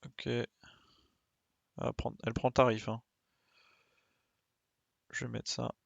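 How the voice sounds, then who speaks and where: A man's voice: medium pitch, flat delivery, slow, vocal fry, no echo, muffled recording. A man doing casual live game commentary, thinking aloud in informal slang and exclaiming as he plays. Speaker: game streamer.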